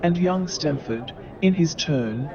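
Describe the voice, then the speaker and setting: Narrator: male, fairly high voice, theatrical and deliberate storytelling, crowd sound behind. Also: lively intonation, lo-fi sound.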